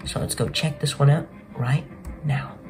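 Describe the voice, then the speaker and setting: A man's voice: medium pitch, fairly even intonation, normal speed, a little mellow. A young man giving casual game walkthrough commentary as the host of a gaming video. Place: small bedroom, indoors. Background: game music.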